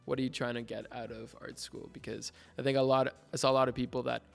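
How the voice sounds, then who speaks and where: Young Mexican-accented man, relaxed and rambling, gravelly but smooth, faint music under. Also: mid-range voice, quick pace. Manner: relaxed, rambling, many likes and restarts. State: hungover. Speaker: graduating art student guest. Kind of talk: casual interview chat.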